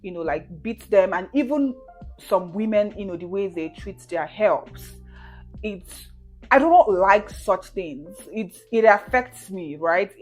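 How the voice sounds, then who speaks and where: A woman's voice: mid-range voice, animated, measured pace, faint music behind, poor audio. A woman in her twenties from Nigeria, chatting casually to camera as she answers viewer questions, with frequent "you know" and "right?" and easy laughs. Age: 20s.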